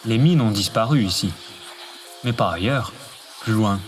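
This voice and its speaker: A man's voice: fairly low pitch, animated, a little slow, a little hiss or hum. A man delivering a scripted, poetic radio monologue with music behind him. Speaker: narrator.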